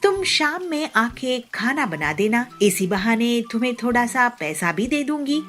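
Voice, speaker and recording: A woman's voice: a little high, sing-song, a little slow, fairly bright tone, soft background music. A woman voicing a nosy cartoon neighbour who is falsely sweet and condescending. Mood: mock-sweet. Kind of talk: Hindi cartoon moral story.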